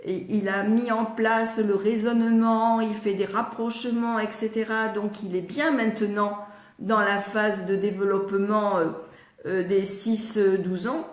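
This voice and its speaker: A woman's voice: medium pitch, fairly even intonation, a little slow. An older woman with a slightly congested voice, talking parents through how children learn letters in an easy, chatty way. She calls viewers by name as she answers them live, and the sound bounces around a roomy space. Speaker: retired speech therapist answering parents live. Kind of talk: live Q&A teaching. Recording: roomy echo.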